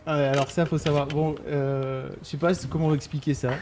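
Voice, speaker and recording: Male interviewer, playful and provoking; a touch high-pitched; faint noise behind. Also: level tone, brisk, a little mellow. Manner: teasing, provoking with rumors. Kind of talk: casual interview chat.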